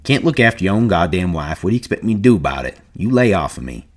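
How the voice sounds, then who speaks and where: A man's voice: deep voice, big pitch swings, fast. A man voicing a stern, level-headed ranch worker with terse, commanding rustic speech. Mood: scowling.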